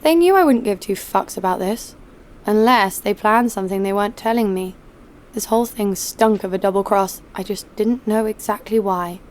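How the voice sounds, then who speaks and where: A woman's voice: mid-range voice, normal speed, a little mellow, lightly acted. An actress playing a cynical, hard-nosed female bounty hunter in an audio drama, with a blunt, sardonic delivery. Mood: wary narration. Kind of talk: first-person audio drama narration and dialogue.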